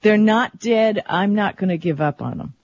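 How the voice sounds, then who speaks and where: A woman's voice: mid-range voice, expressive, moderate pace, darkish tone, lo-fi sound. A woman co-hosting a casual tech show, laughing often and steering the conversation through the topics.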